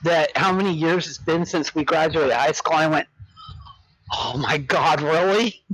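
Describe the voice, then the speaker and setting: A woman's voice: low voice, a little slow, a bit bright, husky, low-quality recording. A woman in her fifties chatting casually on voice chat, teasing and laughing often. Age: 50s. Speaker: streamer and raid player.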